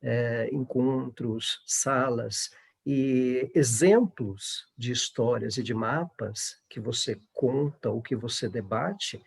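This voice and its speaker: Husky, creaky-voiced Brazilian man, fairly high-pitched. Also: takes it slowly. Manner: discursive, often seeking agreement with tags. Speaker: astrologer and panel speaker. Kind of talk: informal panel discussion.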